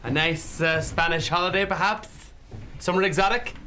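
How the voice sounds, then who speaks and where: A man's voice: fairly high pitch, normal speed, pushed and bright, slight background noise. A man playing a polite travel agent. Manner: polite salesman patter, growing flustered. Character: patient male travel agent.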